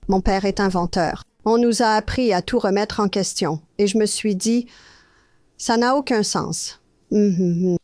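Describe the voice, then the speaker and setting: A woman's voice: mid-range voice, a little slow, a little mellow, no room sound, clean studio sound. An older woman from Australia, a health educator, telling her personal story as an interview guest in an earnest, anecdotal way.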